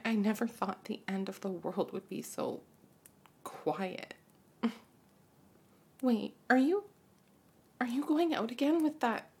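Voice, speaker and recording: A woman playing a breathy, frightened mother whispering. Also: on the high side, exaggerated voice. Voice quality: husky, breathy. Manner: breathy, hushed whispering mixed with pleading outbursts. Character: frightened, clingy mother sheltering in a post-apocalyptic home. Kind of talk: audio roleplay performance.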